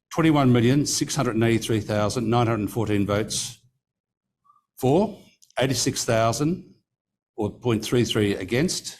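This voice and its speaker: A man's voice: mid-range voice, darkish tone, slight background noise. A man chairing a company meeting, reading out resolutions and vote counts in a formal, careful way and stopping now and then to correct a figure. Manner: reads figures carefully, pausing to correct himself. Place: meeting room.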